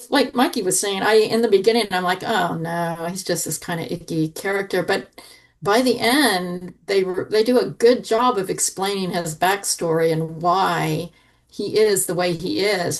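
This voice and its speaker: Lowish-voiced woman, distant from the mic. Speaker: podcast panelist. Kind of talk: casual, reflective discussion.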